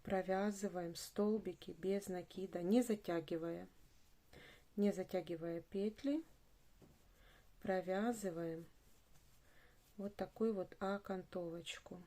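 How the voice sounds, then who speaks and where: Slow, mellow-voiced woman teaching crochet. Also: fairly low pitch. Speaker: crochet tutorial instructor. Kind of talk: step-by-step craft tutorial narration.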